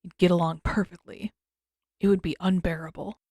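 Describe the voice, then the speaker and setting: A grumpy teenage boy prince, acted by a woman, low and dry-sounding. He grumbles and mumbles with sardonic, exasperated bluntness, a deadpan figure in a narrated fan story. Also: moderate pace, no room sound.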